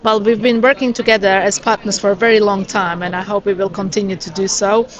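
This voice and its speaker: A woman representing a university answers interview questions steadily, pausing with frequent "uh"s. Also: mid-range voice, steady tone, rough recording. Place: busy education expo hall. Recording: crowd noise behind. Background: crowd chatter.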